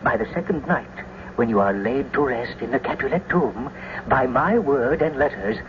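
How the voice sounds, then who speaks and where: A man's voice: medium pitch, expressive, moderate pace, crowd noise, lightly acted. A man playing an earnest, fatherly friar in a classical tragedy, with an urgent, declamatory delivery. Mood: urgent, reassuring. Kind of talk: classical tragedy radio acting. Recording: muffled, noisy old radio recording.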